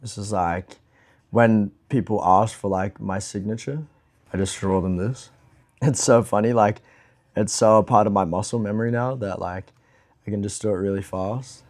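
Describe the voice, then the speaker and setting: A man's voice: fairly low pitch, steady tone, moderate pace, a little mellow. A man chatting casually in an easygoing, amused way, with lots of fillers. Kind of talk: casual chat.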